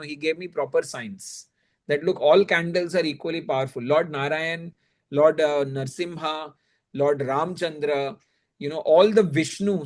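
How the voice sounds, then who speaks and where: A man with an Indian accent teaching religion in a conversational, explanatory way, often adding "you know" as he goes. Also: a little high.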